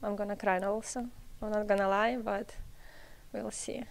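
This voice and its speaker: A young woman, a college tennis player, answering interview questions haltingly in short phrases. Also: medium pitch, fairly even intonation, vocal fry. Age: young adult.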